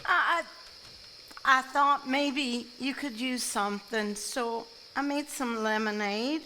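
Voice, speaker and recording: A woman's voice: on the high side, slow, bright, forceful tone, rough recording. An actress playing a folksy, sly and guarded country woman in a live stage drama, with a Southern US accent. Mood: coy, teasing. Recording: live stage recording, hiss and night insects.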